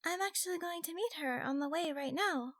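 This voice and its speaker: Man putting on a high, flustered young fox girl. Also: fairly quick, a bit bright. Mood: friendly. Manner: nervous, stammering, cutesy. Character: shy, feisty young fox girl gamer, easily flustered.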